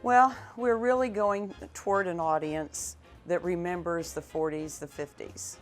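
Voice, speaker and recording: A woman's voice: lowish voice, steady tone, measured pace, faint music behind. A woman cheerfully describing her radio theater group in an interview.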